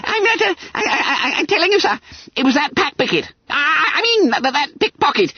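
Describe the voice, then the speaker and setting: Storybook fat schoolboy: squealing high, flustered, cowardly, stumbling speech, muffled lo-fi recording. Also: male voice, wildly swooping pitch, slow pace, vocal fry, no room sound, exaggerated voice. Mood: flustered excuses. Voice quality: shrill. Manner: stammering, flustered, muddling his words. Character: terrified fat schoolboy, babbling and yelping.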